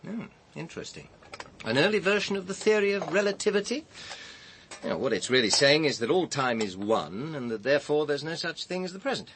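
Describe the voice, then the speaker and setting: Urbane, animated intellectual. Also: male voice, a little high, brisk, slight background noise. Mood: musing. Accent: English. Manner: articulate, donnish, discursive. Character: urbane, intellectual man holding forth at a dinner party. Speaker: radio drama actor. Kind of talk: BBC radio drama dialogue.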